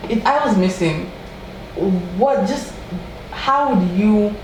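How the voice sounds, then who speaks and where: A woman's voice: medium pitch, slow pace. Chatty woman in an echoey room. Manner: chatty, animated, quoting people in raised voice. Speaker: podcast host. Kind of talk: casual true-crime storytelling. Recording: echoey room from far off with faint noise.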